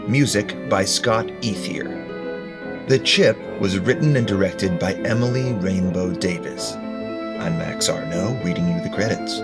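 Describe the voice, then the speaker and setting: A man's voice: sits low, unhurried, creaky. A man reading scripted end credits for an audio drama as an announcer. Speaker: credits reader. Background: loud guitar music.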